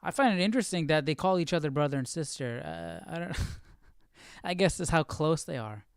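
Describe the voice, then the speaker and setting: A man hosting a casual Bible study livestream, thinking aloud in an informal, halting way. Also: fairly high pitch, lively intonation, slight background noise. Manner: informal, halting, muses to himself.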